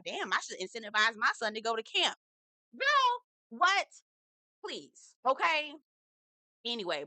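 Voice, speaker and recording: A woman's voice: fairly high pitch, pushed and bright, dry recording. A woman recapping reality TV in a sassy, blunt, casual way, swearing freely and asking "okay?" often. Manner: sassy, blunt, swearing, heavy slang, lots of "okay?" and "girl".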